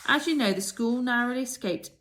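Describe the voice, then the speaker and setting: A woman's voice: on the high side, a little fast, fairly bright tone, voice pushed up, close to an everyday voice. A woman voicing a kindly, commanding headmistress who makes formal proclamations in a children's storybook. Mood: proclaiming, commanding. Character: kindly, commanding old headmistress addressing her pupils.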